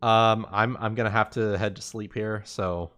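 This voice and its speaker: A man chats as a player in a casual online tabletop game. His voice sits on the low side for a man, yet it has a sharp, forceful brightness. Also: fairly even intonation, moderate pace.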